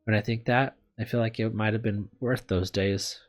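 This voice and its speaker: A video game streamer talks through a visual novel in a medium-low, androgynous voice. The delivery moves between reading aloud and casual, candid commentary, self-deprecating and unafraid to swear. Gentle guitar music plays behind the voice. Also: fairly even intonation, normal speed.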